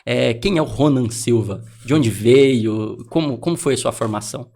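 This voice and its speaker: A man's voice: mid-range voice, normal speed, gravelly, dark tone. A Brazilian man co-hosting a podcast, casually reading sponsor plugs and putting questions to a guest. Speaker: podcast co-host.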